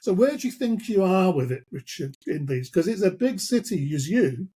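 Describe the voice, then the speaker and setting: Guy interviewing, kinda rambly questions, husky warm voice. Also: sits high, sing-song. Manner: rambling, builds questions slowly with kind of and you know.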